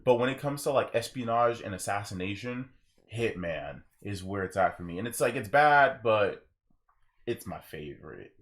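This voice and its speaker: A young adult man hosting a casual podcast discussion, telling stories in an animated manner. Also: lowish voice, moderate pace. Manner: animated storytelling with acted quotes. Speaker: podcast host.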